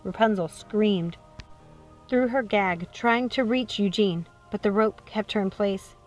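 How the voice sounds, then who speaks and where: A woman's voice: medium pitch, a little slow. Female audiobook reader: dramatic fairy-tale narration, middle register, music bed.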